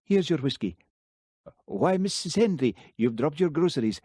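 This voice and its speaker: A polite shopkeeper with a courteous, slightly formal way of talking, as a male reader voices him. His voice rises higher when he fusses. Close, dry sound. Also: sing-song, normal speed, dark tone, breathy edge, no echo. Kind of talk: audiobook character voice.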